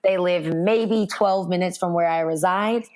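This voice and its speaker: A hoarse woman in her thirties with a raspy, softened Australian accent, chatting as an interview guest with frequent ums. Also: fairly low pitch, a little slow. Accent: Australian, softened by years in the US. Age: 30s. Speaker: guest, fitness speaker. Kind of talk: casual interview chat.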